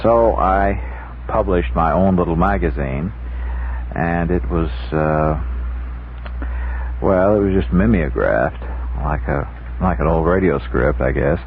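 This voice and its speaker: A man being interviewed as an author on a radio show, talking in a casual, halting and reflective way. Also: sits low. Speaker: guest author. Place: 1960s radio studio. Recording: muffled phone-like old radio recording, faint noise.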